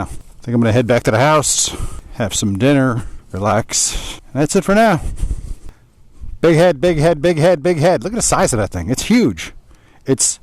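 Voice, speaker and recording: Male ride-vlog host. Very lively intonation, casual and playful. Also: mid-range voice, no room sound.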